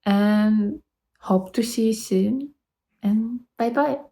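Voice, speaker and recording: A woman in her twenties speaks English with a Polish accent, chatting casually and circling back over her words. Her voice is slow, dark and gravelly. The room around her adds a noticeable echo. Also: a little high. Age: 20s. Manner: rambling, halting, many restarts. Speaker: vlogger. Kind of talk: casual chat to camera.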